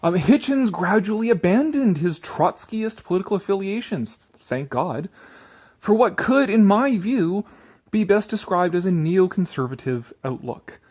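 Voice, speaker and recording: Sarcastic man with big theatrical pitch swings. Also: expressive, a little slow, a little mellow. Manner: sarcastic, exasperated, freely swearing; theatrical, swinging between declaiming and offhand muttering. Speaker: commentator reading an article aloud. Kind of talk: dramatic reading with sarcastic asides.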